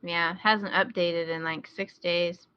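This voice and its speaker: A woman's voice: fairly low pitch, fairly even intonation, slow pace, clear, resonant tone, no room sound. A woman co-hosting a podcast, chatting casually with dry, joking banter. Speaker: podcast co-host. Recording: dull, lo-fi close dry audio.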